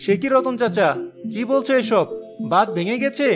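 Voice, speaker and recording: A woman's voice: animated, moderate pace, over music, lightly acted. A woman doing a worried young village headman. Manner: deep-voiced and dutiful.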